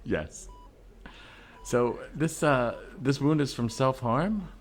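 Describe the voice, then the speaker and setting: A man's voice: mid-range voice, slow pace, voice pushed up, lightly acted. A man playing an earnest, unflappable psychiatric doctor in an improvised comedy sketch, explaining things hesitantly. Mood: hesitant, clinical.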